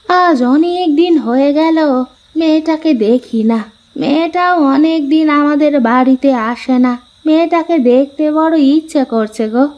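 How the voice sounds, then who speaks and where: A woman voicing an elderly village wife in a cartoon, fond and coaxing, with some gentle nagging. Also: high voice, moderate pace, muffled recording, lightly acted. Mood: wistful, agreeable. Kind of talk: Bengali cartoon voice acting.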